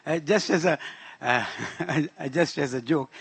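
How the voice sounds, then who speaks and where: A man with a Pakistani accent whose first language is Urdu, giving an emphatic conference speech and slowing down to stress his key points. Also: on the high side, creaky, fairly bright tone, slightly rough, no echo. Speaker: conference speaker.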